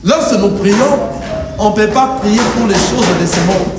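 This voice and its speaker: A man's voice: high voice, unhurried, loud music behind the voice. A man preaching a sermon as pastor, emphatic and rhythmic, with the congregation answering. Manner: emphatic preaching with call-and-response. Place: church hall. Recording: echoey hall, distant mic, faint noise. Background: congregation answering amen.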